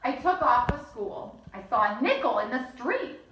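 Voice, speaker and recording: A woman hosting a bedtime story reading, with a bright, slightly high voice. She pauses to comment and gives each character a voice. The sound is roomy, and she sits some way from the microphone. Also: sing-song, a little hiss or hum. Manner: chatty, comments between lines, acts out character lines. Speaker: storyteller host. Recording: echoey room, mic at a distance.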